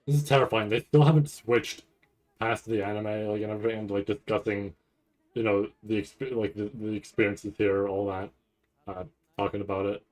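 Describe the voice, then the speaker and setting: A man giving casual, rambling live commentary as a streamer, halting and restarting often as he thinks aloud. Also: lowish voice, fairly even intonation, darkish tone, low-quality recording. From Canada. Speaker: streamer reacting to a live anime event.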